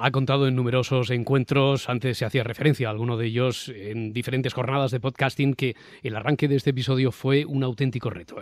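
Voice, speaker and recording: A man's voice: medium pitch, dry recording, studio quality. A man with an accent from Spain, presenting a panel discussion as podcast host.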